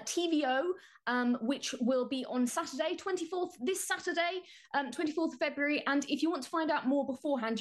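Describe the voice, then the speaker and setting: A British woman chatting enthusiastically as a guest contributor, with an animated, playful manner. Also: high voice. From United Kingdom. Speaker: guest contributor presenting a show. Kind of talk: chatty, enthusiastic show preview.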